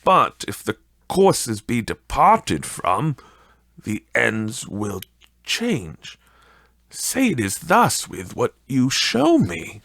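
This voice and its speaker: Slow, pleading, repentant old miser played by a man. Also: mid-range voice, huge pitch swings, vocal fry, a bit bright, dry recording, exaggerated voice. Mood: anxious, pleading. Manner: pleading, fervent, drawn-out delivery. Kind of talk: dramatic audiobook character acting.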